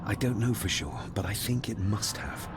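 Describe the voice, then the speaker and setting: Casting: haunted young heir in a period mystery game, low-pitched and brooding, heavy ambient noise. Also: male voice, animated, normal speed. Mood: earnest, troubled. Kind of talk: video game voice acting. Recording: loud ambient rumble behind voice.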